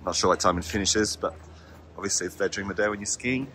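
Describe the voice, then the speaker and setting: A man's voice: on the low side, fast talker, sharp tone, a little hiss or hum. Chatty man vlogging outdoors at a ski resort. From half French, half Australian. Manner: chatty, opinionated, frank. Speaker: ski travel agency founder, vlogger. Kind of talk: casual vlog walkthrough. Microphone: handheld phone at arm's length, selfie.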